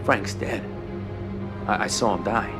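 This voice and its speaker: An actor playing a businesslike, inquisitive American mafia underboss in a video game cutscene, direct and plain-spoken. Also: male voice, lowish voice, measured pace, loud music behind the voice, lightly acted. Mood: questioning.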